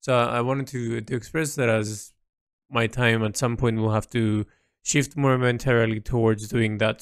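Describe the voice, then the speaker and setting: A man recording a reflective, unscripted audio diary, thinking aloud haltingly with repeats, in a creaky voice. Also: lowish voice, no echo, studio quality. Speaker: painter recording an audio log.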